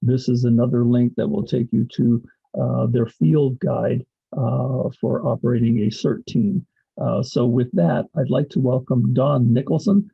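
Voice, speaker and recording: A man with a gravelly, husky voice presenting a slideshow as host on a video call. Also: medium pitch, fairly even intonation, measured pace, no echo, highs cut off. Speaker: host introducing a guest speaker.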